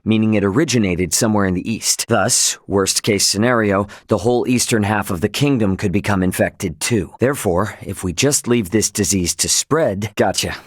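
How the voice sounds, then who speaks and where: A man's voice: on the low side, moderate pace, few pauses, dry recording, clean studio sound, lightly acted. An actor voicing a brash, loyal young male spaceship captain in a video game, with an American accent and a casual, cocky, emphatic way of talking. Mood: earnest, casual.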